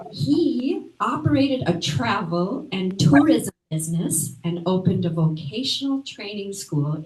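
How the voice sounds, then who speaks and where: A woman hosts a library event and reads out the guest speaker's bio. Her voice sits fairly low. She adds friendly, chatty asides to the audience, and the room echoes around her. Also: dark tone. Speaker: event host introducing the speaker. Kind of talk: reading a speaker introduction.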